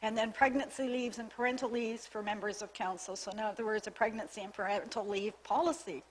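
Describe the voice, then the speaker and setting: A woman's voice: mid-range voice, steady tone, fairly quick, low-quality recording. A woman on a town's staff, losing her voice, giving a formal, methodical briefing on new legislation to a council meeting in a council chamber, reading out clauses with small restarts. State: losing her voice, with frequent coughs. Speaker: municipal staff member presenting to town council.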